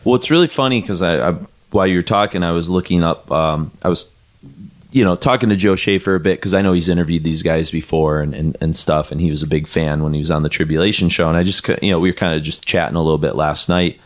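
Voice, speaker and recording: Deep-voiced man rambling on a podcast. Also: steady tone, quick pace. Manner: rambling, many fillers and restarts; reads quotes aloud. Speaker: podcast co-host. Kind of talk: casual chat about music.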